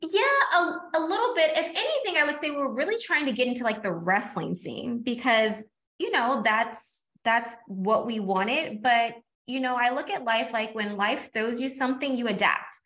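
Chatty woman guest with a very high, bright, sharp voice. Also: normal speed. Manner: chatty and energetic, calling the host "girl". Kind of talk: casual interview chat.

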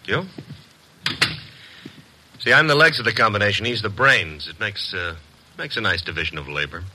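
An actor playing a cocky, wisecracking detective's assistant in 1950s radio style, wry, slangy and needling. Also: male voice, on the low side, normal speed, noisy background, close to an everyday voice. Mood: breezy, flirtatious.

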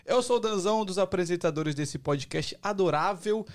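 A man co-hosts a live podcast and sits a bit higher in pitch than most men. He talks in a playful, teasing way and keeps hyping the audience. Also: normal speed. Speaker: podcast co-host. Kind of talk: casual live podcast chat.

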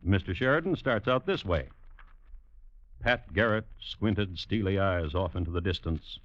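A man's voice: low voice, lively intonation, measured pace, fairly bright tone, dry recording. A man narrating an old-time radio program with dry, ironic storytelling. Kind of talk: wry scripted radio narration. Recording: muffled old radio recording.

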